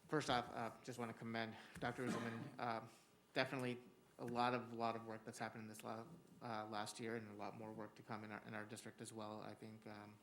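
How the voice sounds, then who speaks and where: A man speaking to a school board at a quick clip, his fairly low voice holding a narrow, even line. He talks earnestly and steadily, with frequent "uh" fillers, like an administrator giving a formal report in a meeting room. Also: slight background noise.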